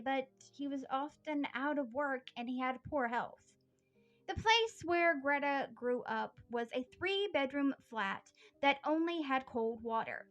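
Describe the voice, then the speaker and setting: A woman tells a history story from a prepared script, earnest and steady. Her voice sits high and sounds bright and sharp. Quiet music plays faintly behind her. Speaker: history podcast host.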